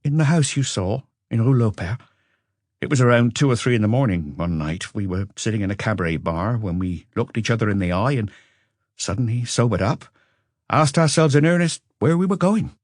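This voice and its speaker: A man voicing an educated Frenchman who looks back on a passionate marriage with regret, in a measured, confessional audiobook reading. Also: medium pitch, expressive, dry recording, clean studio sound, pitched above the performer's own voice, lightly acted. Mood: candid, resigned. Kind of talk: audiobook character dialogue.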